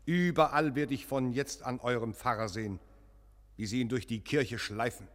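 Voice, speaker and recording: A man's voice: medium pitch, normal speed, fairly bright tone, natural voice. An actor playing a troubled, earnest visiting clergyman in a radio play, speaking in solemn, insistent short phrases. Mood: brooding, anguished. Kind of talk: German radio play acting. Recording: long echoing church-like reverb.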